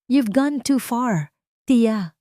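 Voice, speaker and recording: A woman voicing an angry, wealthy middle-aged man who shouts cold commands. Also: on the high side, animated, extremely slow, no echo, studio quality, voice pushed up. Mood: furious. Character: harsh rich middle-aged father, furious.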